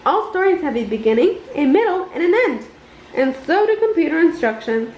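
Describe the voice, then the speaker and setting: A woman reading a children's picture book aloud with an expressive, deliberate storytelling delivery in an indoor room. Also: high-pitched. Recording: roomy, dull home recording with noise.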